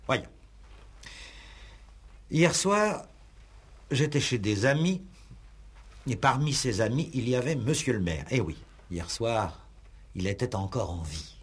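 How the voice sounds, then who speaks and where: An actor playing an insistent, exasperated examining magistrate in a 1960s French radio police play, pressing and sarcastic in a formal, theatrical way. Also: male voice, mid-range voice, expressive, slow, long pauses, husky. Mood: solemn, narrating.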